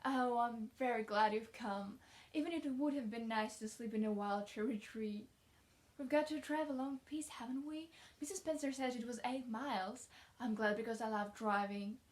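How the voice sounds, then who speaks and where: A woman's voice: on the high side, normal speed, lightly acted. A woman voicing a talkative, imaginative young orphan girl from a storybook, effusive and dreamy. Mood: cheerful, excited.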